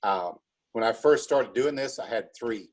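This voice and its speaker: A man with a calm voice, giving casual, explanatory interview answers like a teacher. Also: medium pitch, moderate pace. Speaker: high school math teacher and drama director.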